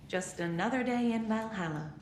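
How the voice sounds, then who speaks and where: A brisk care worker in a video game, long-suffering and dry. Her voice is a bit high, exasperated yet caring. The room echoes, with faint noise. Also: heavily acted.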